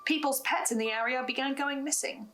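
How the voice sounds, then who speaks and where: Female recap host, higher voice, scripted storytelling with personal asides, faint music underneath. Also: fairly even intonation, fairly quick, a bit bright. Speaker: host of a recap and theory video on a horror podcast.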